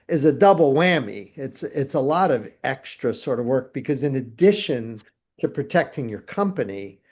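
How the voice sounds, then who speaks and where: A man's voice: mid-range voice, expressive, moderate pace, a little mellow. A man hosting an interview, asking long, rambling questions in a friendly conversational way. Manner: chatty, rambling questions with restarts. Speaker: interviewer, host.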